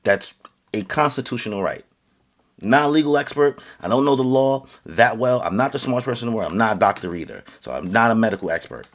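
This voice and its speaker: A man giving an earnest, halting opinion rant to camera, with many fillers and restarts, growing louder and higher as he gets heated. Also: animated. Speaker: vlogger.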